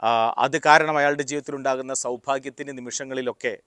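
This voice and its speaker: A man giving a motivational talk, explaining deliberately in short, paused phrases. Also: medium pitch, flat delivery, sharp tone, dry recording, clean studio sound. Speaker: motivational speaker. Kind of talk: motivational talk with personal anecdotes.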